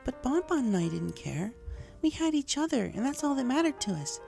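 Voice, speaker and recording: Woman putting on a calm storybook pony mare, high voice, soft-spoken, earnest, music behind. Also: brisk, vocal fry, exaggerated voice. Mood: calm, frank. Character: calm, gently cautioning mare pony recovering from injury. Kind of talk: fan fiction character dialogue.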